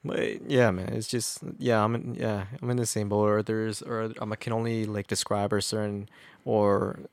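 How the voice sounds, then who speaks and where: A young man with a lowish voice talks in an easygoing podcast chat, often trailing off mid-thought. The recording is close and dry, with no room sound. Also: little pitch movement, moderate pace, dry recording. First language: Spanish. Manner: laid-back, trailing off, says "man". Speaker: podcast co-host. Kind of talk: casual chat.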